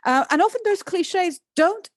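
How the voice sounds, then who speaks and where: A woman scholar discussing her book in an interview, articulate and lively, with frequent restarts. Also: very high-pitched, unhurried, no echo, studio quality. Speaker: author and Middle East scholar. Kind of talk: academic interview answer.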